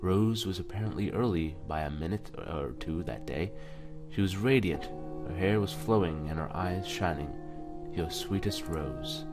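A man's voice: low-pitched, a little slow. A man hosting a let's play, reading game text aloud with casual reactions and often stumbling and correcting himself. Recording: music under the voice.

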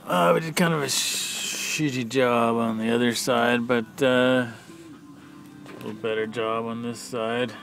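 A man talking casually off the cuff as an amateur mechanic, in a plain-spoken, halting, matter-of-fact way while filming with a handheld camera. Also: mid-range voice. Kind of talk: casual off-the-cuff how-to narration. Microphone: handheld camera, speaker behind it.

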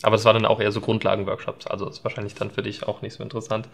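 A man's voice: on the low side, monotone, very fast, gravelly, fairly bright tone. A young man talking as a podcast guest, thoughtful and hesitant, with many filler ums. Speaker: guest, videographer. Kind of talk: casual interview chat. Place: indoor studio set at a desk.

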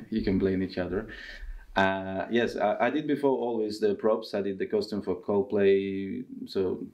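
A man in his thirties with a Russian accent, talking casually in halting English during a seated interview in a costume workshop. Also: fairly low pitch, steady tone, a little mellow, soft background music. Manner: halting non-native English with restarts. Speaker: circus performer and tent master.

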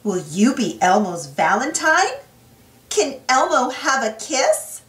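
A woman reading a children's storybook aloud in an expressive, singsong way. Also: high-pitched, slow. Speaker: storybook reader, channel host. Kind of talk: children's read-aloud narration. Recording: roomy, distant mic, soft music behind.

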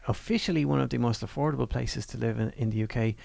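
A male lecturer talks through his slides in an easy, conversational way, pausing on fillers and restarting sentences. His voice is heavily gravelly with a lot of fry. It was recorded very dry and close, with no room sound. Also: mid-range voice, darkish tone, dry recording. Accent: non-Northern Irish. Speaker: university course lecturer. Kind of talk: presenting over slides.

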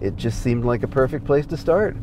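A man's voice: medium pitch, brisk, over loud music. Earnest man talking in a car. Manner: earnest, explanatory, laughs easily. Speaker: guest, comedy program founder. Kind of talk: conversational interview. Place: inside a moving car.

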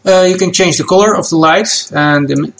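Gravelly-voiced man explaining software steps. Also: sits high, moderate pace, slight background noise. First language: Dutch. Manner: relaxed, plain step-by-step explaining with fillers. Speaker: game asset creator. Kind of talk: software tutorial walkthrough.

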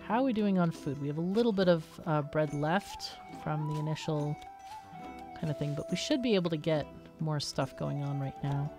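A woman's voice: sits low, moderate pace, music in the background. A woman hosting a gaming video, casually thinking aloud and explaining as she plays. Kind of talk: casual gameplay commentary.

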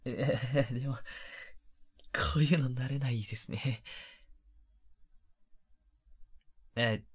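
A man acts out a shy, polite young man from a romantic audio drama. His voice is breathy and soft at a medium pitch, and he speaks slowly. He sounds hesitant, apologetic and gentle. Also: fairly even intonation. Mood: bashful, hesitant. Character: polite, flustered young man, secretly an online idol.

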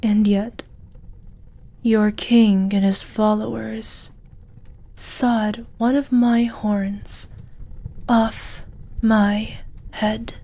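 A woman voicing a gentle, seductive dragon lady in a fantasy ASMR roleplay, soft, breathy and half-whispered, with an intimate, tender manner. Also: a little high, little pitch movement, extremely slow, long pauses, heavily acted. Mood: wounded, sorrowful.

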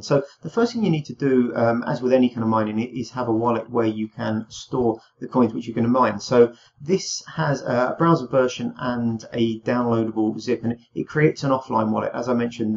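A young man, slightly hoarse from a cold, talking through a screen-share tutorial in a relaxed way with many ums and uhs. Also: medium pitch. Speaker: crypto tutorial host. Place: small room indoors. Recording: dull lo-fi home recording.

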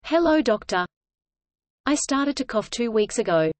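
Higher-voiced woman reading lesson lines. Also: no echo. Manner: measured, even delivery, each line repeated. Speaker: English lesson reader. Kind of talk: scripted lesson dialogue read aloud.

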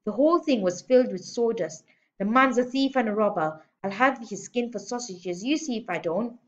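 A woman's voice: on the high side, animated, moderate pace, dull audio, pitched above the performer's own voice, lightly acted. A woman voicing a domineering, contemptuous headmistress who barks and sneers in a children's storybook reading. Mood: menacing, indignant. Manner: barking, scolding, sneering insults. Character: domineering, bullying adult headmistress, contemptuous and loud. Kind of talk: children's storybook read-aloud.

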